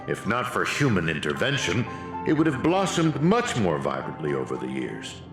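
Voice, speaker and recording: A man's voice: mid-range voice, echoey room. An actor playing a villainous research aide in a video game, a pompous man who lectures theatrically.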